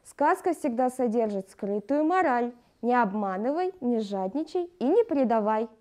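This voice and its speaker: A woman's voice: a little high, expressive, moderate pace, warm tone. A woman presenting a scripted educational lesson in a didactic way, addressing her pupils.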